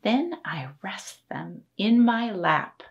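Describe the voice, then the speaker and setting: A woman's voice: medium pitch, very animated, slow pace, creaky, dull audio. A middle-aged woman reciting a children's rhyme in a sing-song way, as a story time host. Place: indoor room with books and posters.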